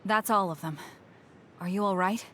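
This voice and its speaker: A woman voicing a calm, supportive young comrade in an anime game cutscene, composed and encouraging. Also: mid-range voice, moderate pace, noisy background, lightly acted. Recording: clear music behind the voice.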